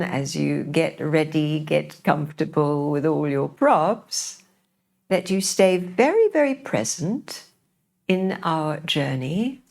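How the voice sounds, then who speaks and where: A woman teaching yoga nidra, guiding a relaxation in a soothing, gentle way with unhurried pauses. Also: lowish voice, creaky. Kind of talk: guided relaxation, meditation instruction.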